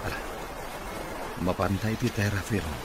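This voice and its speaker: Actor as suspicious male boss, Ilocano accent, low-pitched, radio drama, clear background noise. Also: normal speed, natural voice. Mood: stern, determined. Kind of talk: Filipino radio comedy-drama acting.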